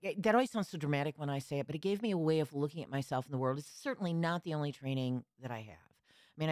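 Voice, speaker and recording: A woman's voice: a bass voice, a little mellow, no echo. Mature female interview guest, astrologer, reflective conversational storyteller, recorded dry and close.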